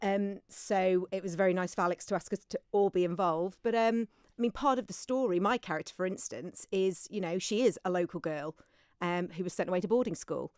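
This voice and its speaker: A woman's voice: lowish voice, fairly quick, darkish tone, smooth, no room sound. A woman in her thirties chatting casually as a guest in a radio interview, on a studio microphone. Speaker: guest, actor.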